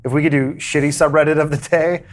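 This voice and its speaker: A man's voice: a little high, steady tone, fast talker. Rambling man bantering on a podcast. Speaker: podcast panelist. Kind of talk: casual chat.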